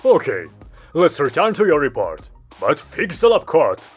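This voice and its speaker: A man's voice: on the high side, wildly swooping pitch, measured pace, husky, soft background music, full character voice. Man playing a curt, stern Soviet commander. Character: stern, composed male Soviet commander, a father.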